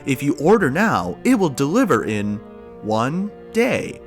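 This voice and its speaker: A man's voice: medium pitch, very animated, unhurried, faint music behind. A man playing an officious automated security droid making formulaic announcements in an audio drama. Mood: officious, impersonal. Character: officious automated security droid barking pandemic rules and ads.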